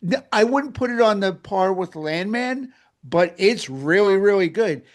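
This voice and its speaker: Male co-host, gushing and emphatic, voice sits a little high. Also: lively intonation, unhurried. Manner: enthusiastic, emphatic, repeats himself. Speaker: podcast co-host. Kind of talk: casual chat.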